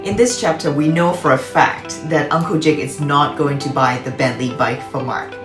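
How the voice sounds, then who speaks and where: Low-pitched woman reading aloud in echoey room. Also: moderate pace. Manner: explanatory, asks the listener questions. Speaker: children's read-aloud host and teacher. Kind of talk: storybook read-aloud and lesson narration. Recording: roomy echo, faint music behind.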